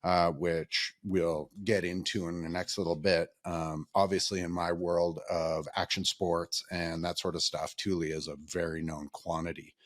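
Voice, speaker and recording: A man's voice: low-pitched, little pitch movement, a little slow, few pauses, dry recording, studio quality. A man hosting a podcast interview, rambling and friendly with many ums and restarts. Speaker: podcast host. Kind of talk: casual interview chat.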